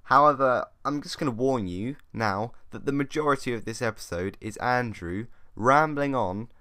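A man's voice: mid-range voice, normal speed, sharp tone, dry recording. Dry, teasing young man. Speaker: gaming video host. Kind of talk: casual gameplay chat and commentary.

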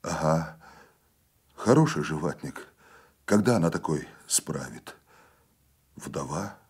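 Man reading as a weary, very low-voiced condemned villager. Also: animated, breathy edge, full character voice. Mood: weary, resigned. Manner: subdued, halting, pleading quietly.

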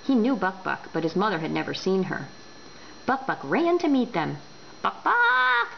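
A woman reading a children's book aloud indoors, expressive and deliberate. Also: on the low side, vocal fry. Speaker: storyteller. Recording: dull home recording with background noise.

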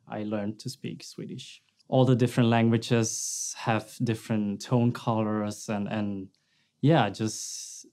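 Young man, halting, Polish native speaker. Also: lowish voice, darkish tone. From Denmark. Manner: halting, searching for words, repeats starts. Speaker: concert pianist. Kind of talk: interview answers. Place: studio set with stage lighting.

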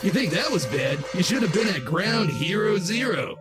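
A man's voice: high-pitched, sing-song, normal speed. A man voicing a manic, mischievous wisecracking trickster in a comic book dub, hammy and taunting. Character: manic green-faced male trickster, wisecracking, gleefully mischievous. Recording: loud music behind the voice.

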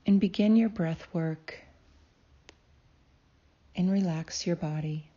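A woman narrating a guided meditation in a calm, soothing way, with deliberate phrasing and long pauses. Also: on the low side, breathy edge, dull audio. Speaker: guided meditation host and healer.

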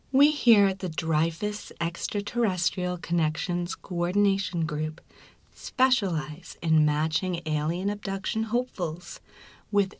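A woman narrating a scripted ASMR advert in a soft, breathy, deliberate whisper. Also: fairly low pitch, dry recording. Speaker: promo narrator.

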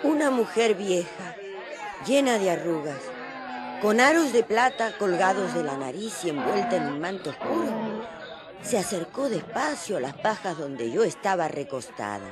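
A woman's voice: medium pitch, big pitch swings, moderate pace, close to an everyday voice. An actress playing a tender mother who narrates her memories in a radio drama, with music and a crowd behind her. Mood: tender, reflective. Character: tender young mother recalling her baby's birth night. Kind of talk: scripted first-person narration in radio drama.

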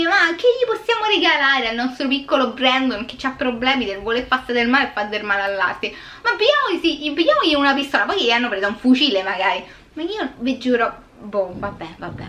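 A woman's voice: on the high side, pushed and bright. A young woman, retelling a true crime story in a casual vlog, breaking off often for sarcastic, exasperated remarks. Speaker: YouTube true crime host. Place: small indoor room with purple lighting.

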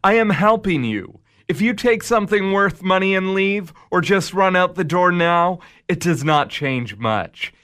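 A man as the Devil, radio play, highish voice, calm smug tempter, sardonic, deliberate phrasing. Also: clear, resonant tone. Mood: smug, persuasive. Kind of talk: radio drama acting.

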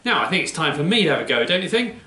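Middle-aged male children's host: playful, chatty, lively intonation, roomy sound. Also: on the high side, racing, heavy background noise. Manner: animated, playful. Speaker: children's church presenter.

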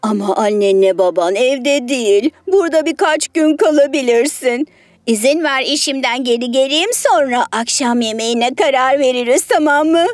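Woman voicing a sly, slow-talking storybook fox. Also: high voice, lively intonation, no room sound, soft background music. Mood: coaxing. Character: sly hungry fox feigning friendliness. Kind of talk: children's storybook character voice.

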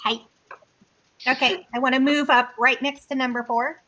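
A woman plays in a tabletop game with a bright, slightly high voice. She sounds cheerful and eager as she calls out her rolls. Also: fairly even intonation, normal speed. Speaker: player.

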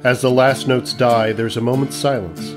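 A man delivers scripted comedy narration in a mid-range voice. He tells the story in the present tense, with music playing clearly behind him. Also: mid-range voice, fairly even intonation, moderate pace.

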